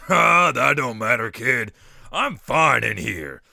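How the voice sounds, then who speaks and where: A man voicing a crotchety old walrus convict in a comic dub, gruff and folksy with streetwise slang. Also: medium pitch, sing-song, slow pace, sharp tone, dry recording, clean studio sound, full character voice. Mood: delighted.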